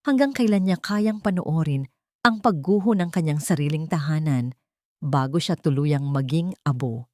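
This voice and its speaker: A woman narrates a dramatic audio story in a fairly low voice. Her delivery is solemn and measured, like literary storytelling. The sound is dry, with very little room in it. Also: dark tone, no room sound.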